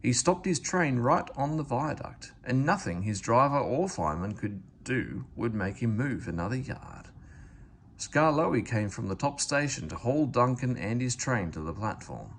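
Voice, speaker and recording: A man reading a children's story aloud with expressive narration. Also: medium pitch, moderate pace, a little hiss or hum. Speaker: storybook reader.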